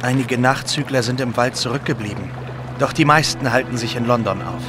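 A man's voice: mid-range voice, fairly even intonation, fairly quick, music in the background, close to an everyday voice. An actor playing a grave, knowledgeable, remorseful time traveller in a Victorian audio drama, speaking earnestly as he explains. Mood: earnest, warning. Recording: clear outdoor vehicle noise behind.

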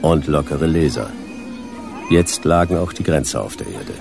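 Tired noir detective, super deep gravelly voice, deadpan short sentences, radio play. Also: male voice, normal speed, over music, heavily acted. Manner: laconic, deadpan, clipped sentences. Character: world-weary hard-boiled male detective, narrating dryly. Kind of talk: German radio drama narration and dialogue.

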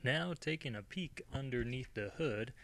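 A man's voice: mid-range voice, animated, normal speed, creaky, fairly bright tone. A man narrating a welding machine review and tutorial in an informal, conversational way, as a voice-over. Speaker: DIY product reviewer.

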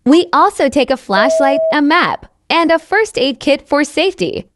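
A woman reading a scripted story for language learners, with careful enunciation and identical, measured phrasing. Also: high voice, big pitch swings, no echo. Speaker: narrator of English learning stories.

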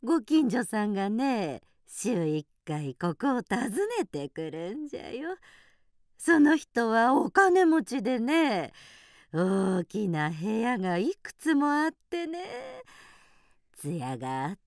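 Slow, kindly old granny field mouse. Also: female voice, fairly high pitch, very animated, darkish tone, no room sound, studio quality. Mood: chatty, admiring. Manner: old-fashioned grandmotherly phrasing. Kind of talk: radio drama acting.

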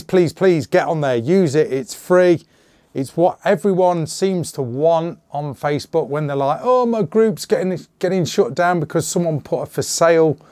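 A middle-aged man with a slightly hoarse voice, hosting a casual channel update and rambling with jokey asides. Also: medium pitch, a little mellow, no echo. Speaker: channel host. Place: small indoor gun room.

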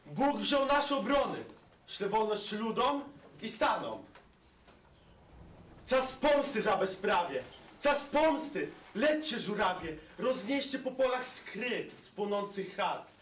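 A teenage boy on a school stage playing a fiery young insurgent who shouts rousing calls to arms. The recording is echoey and distant. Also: high voice, very animated, slow, a little hiss or hum, heavily acted. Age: teens. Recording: echoey school hall, far camera.

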